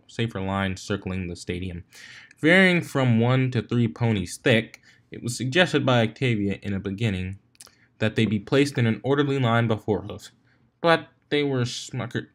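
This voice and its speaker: A man reading fan fiction aloud as a storybook narrator, in an amateur way with occasional stumbles and retries. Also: fairly low pitch.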